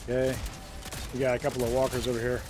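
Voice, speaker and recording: A man casually talking through a co-op space game on stream, chatty and quick to laugh, thinking aloud with plenty of ums. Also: mid-range voice, monotone, noisy background. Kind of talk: casual gameplay commentary. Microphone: desk mic with noise suppression.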